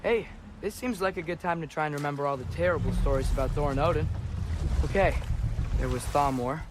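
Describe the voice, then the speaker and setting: A man's voice: fairly high pitch, brisk, noisy background, close to an everyday voice. A young man playing a curious, persistent teenage boy in a video game. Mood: eager, persistent. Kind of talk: video game dramatic acting.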